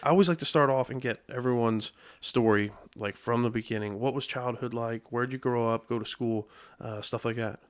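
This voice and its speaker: A man's voice: medium pitch, fairly quick. A man hosting a podcast interview in a studio, chatting casually and joking, sometimes stumbling over words. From United States. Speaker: podcast host.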